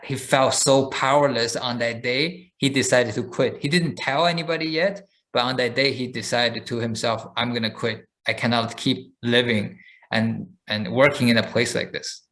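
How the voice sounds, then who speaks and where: A man with a voice of ordinary, middle pitch co-hosts a casual podcast. He talks in a relaxed, loose way, filling pauses with "uh" and restarting his sentences as he tells a story. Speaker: podcast co-host. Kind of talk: casual chat.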